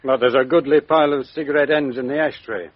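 An actor playing a measured, explanatory police doctor in a 1950s radio drama. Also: male voice, medium pitch, fairly even intonation, natural voice. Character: genial middle-aged police doctor. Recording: dry, dull lo-fi old radio recording.

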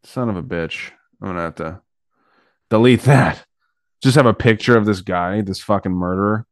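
A man's voice: lowish voice, moderate pace, no echo, studio quality. A man hosting a podcast in casual chat, talking in a profane, slangy way. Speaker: podcast host.

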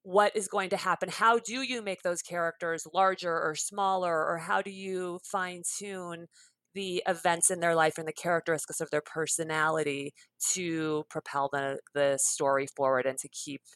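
A woman guest author speaks with a bright, forward tone and a fairly low pitch, recorded dry and close. She is chatty, laughs often and trails off and restarts as she talks. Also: fairly even intonation, normal speed, no echo. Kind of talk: conversational interview.

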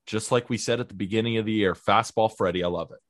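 A man co-hosting a baseball podcast, talking in an upbeat, energetic, positive way. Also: fairly low pitch, quick pace, no room sound, clean studio sound. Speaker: podcast co-host. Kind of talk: casual sports podcast chat.

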